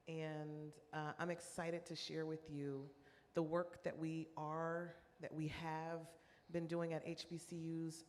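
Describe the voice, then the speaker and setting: A woman from Mississippi, a music department chair, introducing herself on a panel in a measured way with frequent ums. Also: low voice, darkish tone, a little hiss or hum. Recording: reverberant hall with faint ambience.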